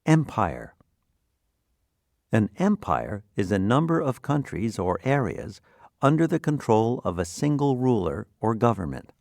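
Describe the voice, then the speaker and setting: Male narrator for educational material: low-pitched, deliberate, instructional, with lively intonation. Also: vocal fry, dry recording, clean studio sound. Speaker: vocabulary lesson narrator.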